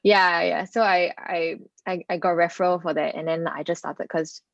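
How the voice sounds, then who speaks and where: A woman's voice: on the low side, steady tone, normal speed, creaky, no echo, muffled recording. A woman, a recent graduate chatting in an interview and often repeating herself. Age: 20s. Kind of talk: casual interview answer.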